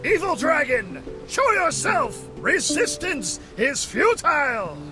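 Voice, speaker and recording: An actor playing a boastful famed fighter in a game, declaiming in a bombastic theatrical style. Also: male voice, very high voice, big pitch swings, slow, music in the background, exaggerated voice. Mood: bold, triumphant.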